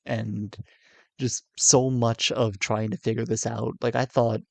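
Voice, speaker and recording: A tired young man in his twenties, coming down with a cold, with a low raspy voice, talking hesitantly and candidly to his viewers. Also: level tone, measured pace, dry recording. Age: 20s. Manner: hesitant, soft-spoken, many fillers and restarts. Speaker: YouTuber. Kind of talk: candid confessional vlog.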